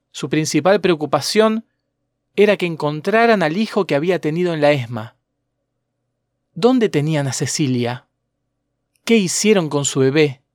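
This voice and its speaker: A man's voice: a little high, no room sound, clean studio sound. A man reading scripted documentary narration as a podcast narrator.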